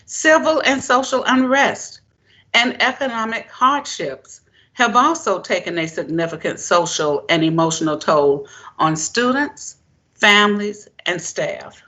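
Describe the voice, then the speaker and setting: A woman giving a formal prepared keynote speech as a presenter, in deliberate, emphatic, measured phrases. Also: mid-range voice, expressive, sharp tone. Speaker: presenter, education keynote speaker.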